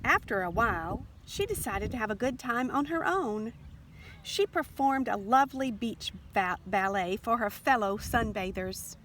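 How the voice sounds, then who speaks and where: A woman reading a children's storybook aloud in an expressive, deliberate narration. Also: mid-range voice. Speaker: storybook reader. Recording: faint background noise.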